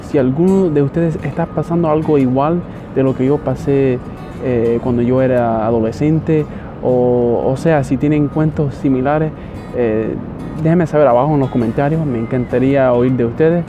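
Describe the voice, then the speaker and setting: A young man from Miami, vlogging casually outdoors and talking with a hesitant, halting manner full of restarts. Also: mid-range voice. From Miami, United States. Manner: hesitant, many restarts and fillers. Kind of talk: casual talk to camera. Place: outdoors in a city. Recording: dull lo-fi audio with loud background music.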